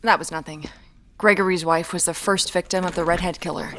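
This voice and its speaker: Actress as a guarded, wry amateur detective. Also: female voice, lowish voice, fairly even intonation, fairly quick, background noise, natural voice. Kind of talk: naturalistic audio drama acting.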